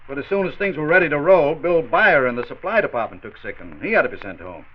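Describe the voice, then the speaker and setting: An actor playing a loyal, deferential plant supervisor in a 1940s radio drama, earnest and apologetic. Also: male voice, on the high side, sing-song, quick pace. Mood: earnest, explaining. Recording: muffled 1940s radio transcription.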